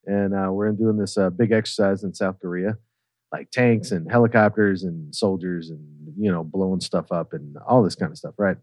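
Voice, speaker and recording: A man with a fairly low voice tells a funny personal story in a loose, chatty way. He swears freely and checks in as he goes. The sound is dry and close. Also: normal speed, a little mellow, dry recording. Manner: swearing, conversational, checks in with "right?". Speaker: podcast guest telling a personal story. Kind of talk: casual comedic storytelling.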